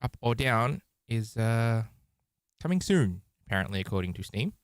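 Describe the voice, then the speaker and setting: A man's voice: mid-range voice, very animated, moderate pace, clean tone, dry recording, studio quality. A man hosting a casual game live stream, with a theatrical, showman-like way of talking.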